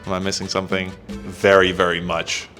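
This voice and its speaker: A man's voice: low voice, monotone, fairly quick, bright, forceful tone, soft background music. A man in his early thirties answering viewer questions in a casual, chatty way as a fitness influencer. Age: early 30s.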